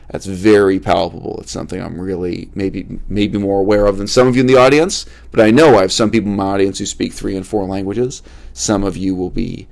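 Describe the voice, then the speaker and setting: Deep gravelly man lecturing viewers. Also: darkish tone. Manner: emphatic, repeats key words for stress; addresses viewers directly, asks rhetorical questions. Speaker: channel host. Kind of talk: unscripted philosophical monologue to camera.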